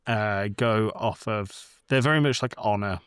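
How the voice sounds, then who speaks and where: Low-voiced man chatting casually. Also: unhurried, fairly bright tone, clear, resonant tone, dry recording, studio quality. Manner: informal, sweary, rambling explanations. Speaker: film podcast co-host. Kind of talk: casual film chat.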